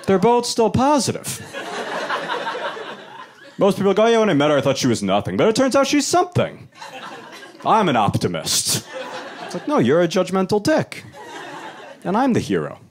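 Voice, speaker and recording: A man's voice: normal speed, long pauses. Wry young man doing stand-up. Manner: wry, animated, jumps into high-pitched voices for quoted people. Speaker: stand-up comedian. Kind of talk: stand-up comedy set. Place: comedy club stage. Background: audience laughter.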